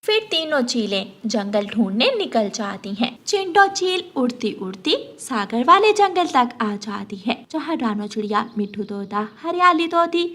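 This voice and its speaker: A woman's voice: a little high, big pitch swings, normal speed, few pauses, fairly bright tone. A woman reading scripted narration for a children's cartoon story.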